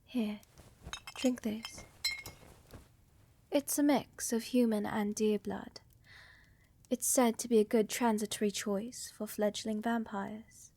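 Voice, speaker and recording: A woman playing a tender, doting vampire countess in a soft romantic ASMR roleplay, gentle, reassuring and often whispering close to the microphone. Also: a little high, steady tone, a little hiss or hum. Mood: tender, attentive. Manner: gentle, reassuring, unhurried, affectionate. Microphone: close microphone, dry.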